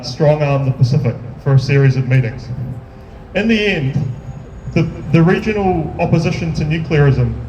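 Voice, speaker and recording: A man with a somewhat high voice delivers an earnest, building speech at an outdoor protest rally. He stumbles and repeats words as his conviction rises. He is picked up from a distance, with an echoey sound and faint noise around him. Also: darkish tone. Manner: earnest, builds to raised intensity, repeats words when restarting. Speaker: activist speaker.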